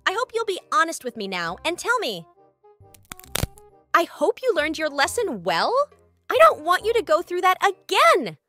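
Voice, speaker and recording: A performer voicing a childlike cartoon cat in a comic dub, squeaky, anxious, grumbling and snarky, with music behind. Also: huge pitch swings, moderate pace, pushed and bright, heavily acted. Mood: scornful. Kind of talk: comic dub character acting.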